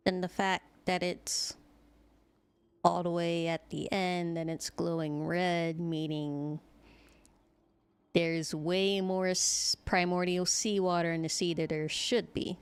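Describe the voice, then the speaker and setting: A woman's voice: lowish voice, level tone, slow, clear, resonant tone, no echo. A woman streamer giving casual live game commentary in a playful, teasing, blunt way, close to the microphone.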